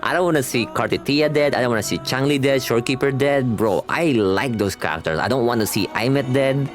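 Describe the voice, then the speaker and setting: A man's voice: medium pitch, a little fast, soft background music. A young man giving casual, slangy reaction commentary as a game streamer, rambling and rephrasing as he goes.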